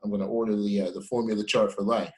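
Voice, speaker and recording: A man hosting a casual podcast interview. His voice sits on the low side, and he sounds friendly and appreciative. He laughs easily with his guest. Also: flat delivery, quick pace. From Texas. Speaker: podcast host.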